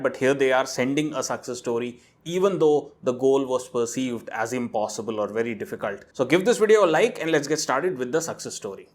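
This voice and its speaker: A man hosting a channel, reading out a viewer's emailed story and breaking in with his own asides. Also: on the high side. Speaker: host reading a viewer's letter. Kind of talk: narrated reading with commentary.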